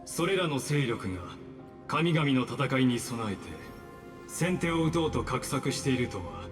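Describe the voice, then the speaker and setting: An actor playing a courteous, coolly scheming villain in a Japanese video game, formal and insinuating. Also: male voice, medium pitch, a little fast, lightly acted. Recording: long reverb under loud game music.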